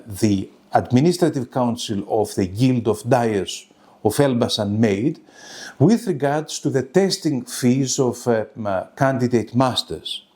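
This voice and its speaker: Slow-spoken man lecturing haltingly. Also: mid-range voice, a little mellow. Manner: halting, careful, formal. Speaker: lecturer presenting a web seminar. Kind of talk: academic lecture.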